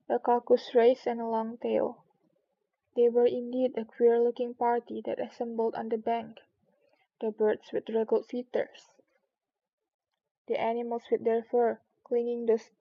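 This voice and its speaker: A woman's voice: fairly high pitch, creaky, no echo. A woman streamer talking casually and reading a storybook aloud, in a slightly muffled recording.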